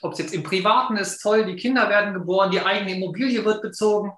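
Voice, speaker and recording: Bright, high-voiced man in an echoey room. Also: fast. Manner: enthusiastic, talkative. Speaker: financial advisor. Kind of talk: casual chat.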